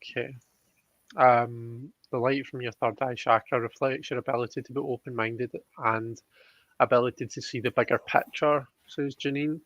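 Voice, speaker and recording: A man's voice: medium pitch, steady tone, moderate pace, fairly bright tone. A man hosting a casual live stream, reading out viewer comments, in a dull recording with room echo. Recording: dull lo-fi sound, roomy echo.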